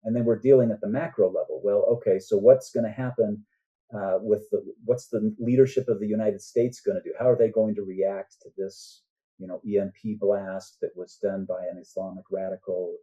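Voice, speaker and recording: Older man with a dark, husky voice. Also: fairly low pitch, steady tone, fairly quick, highs cut off. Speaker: guest author. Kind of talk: conversational interview.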